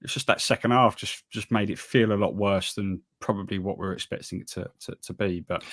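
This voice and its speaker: A man's voice: on the low side, steady tone, brisk, a bit bright. A man co-hosting a football podcast, ranting with exasperation and stammering restarts. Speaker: podcast co-host. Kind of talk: casual football chat.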